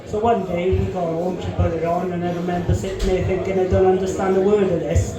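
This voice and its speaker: Crude comic, high voice, echoing hall. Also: male voice, a little fast, crowd noise. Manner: crude, deadpan punchlines with profanity. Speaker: stand-up comedian. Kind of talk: stand-up comedy set. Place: comedy club stage.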